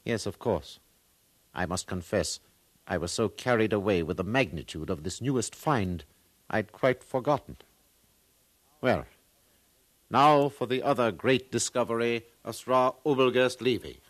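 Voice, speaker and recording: A man's voice: lowish voice, unhurried, pauses often, no echo. A man playing an earnest far-future museum scholar, lecturing formally in a 1950s radio drama.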